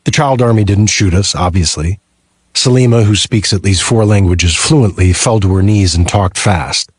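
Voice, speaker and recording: A man's voice: fairly low pitch, normal speed, husky, no echo. A man narrating a first-person thriller audiobook from a script.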